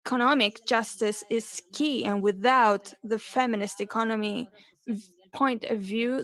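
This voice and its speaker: A woman interpreting a panel discussion live, her voice set a little higher than most. She moves slowly and haltingly, pausing often and correcting herself mid-phrase. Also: poor audio. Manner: halting, with pauses and self-corrections. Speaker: simultaneous interpreter.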